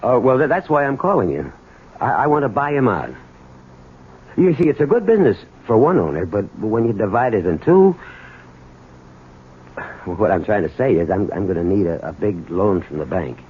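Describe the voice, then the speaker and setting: An actor playing a troubled, desperate husband in a 1970s radio drama, halting and emotional. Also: male voice, lowish voice, sing-song, breathy edge, phone-quality sound. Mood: anxious, pleading. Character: troubled, desperate husband and small business owner, bitter toward his father. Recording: thin, noisy old radio broadcast.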